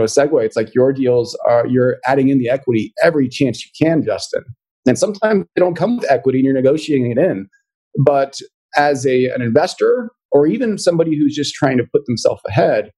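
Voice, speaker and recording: Mid-pitched male guest, earnest, reflective, working out his thoughts as he talks. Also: warm tone, breathy edge, low-quality recording. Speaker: guest, financial coach. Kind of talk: casual interview chat.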